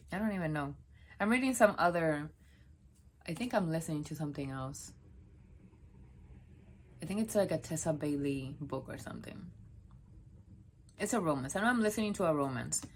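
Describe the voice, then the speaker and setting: Young woman, Spanish native from Puerto Rico, deep chatty vlog voice, meandering and self-correcting. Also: slight background noise. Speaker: book vlogger. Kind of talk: casual talk to camera. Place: home office, sitting on the floor.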